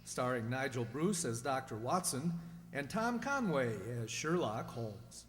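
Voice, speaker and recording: A man's voice: medium pitch, big pitch swings, unhurried, loud music behind the voice. A man playing a courteous announcer in an old-time radio drama, speaking in a formal presenting style. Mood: formal, welcoming. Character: courteous radio announcer, keen listener to the doctor. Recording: hall-like long reverb.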